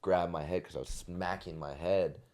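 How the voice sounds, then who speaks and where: Very deep-voiced young man telling a story. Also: fairly even intonation, moderate pace, darkish tone. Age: 20s. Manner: rambling, many restarts and fillers. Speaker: podcast guest. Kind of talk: casual storytelling. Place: podcast studio.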